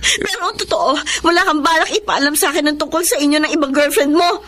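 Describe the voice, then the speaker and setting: A woman's voice: high voice, quick pace. An actress playing a betrayed, heartbroken young woman in a radio drama, emotional, pleading and reproachful. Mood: accusing, wounded. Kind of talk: Tagalog radio drama acting. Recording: radio drama with loud music bed. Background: dramatic music.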